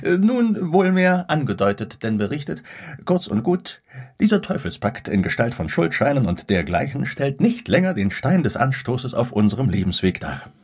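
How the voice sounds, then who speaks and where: An actor playing a grandiloquent, debt-ridden gentleman in a radio drama, orating pompously and with florid optimism. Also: mid-range voice, sing-song, moderate pace, breathy edge. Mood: grandly announcing.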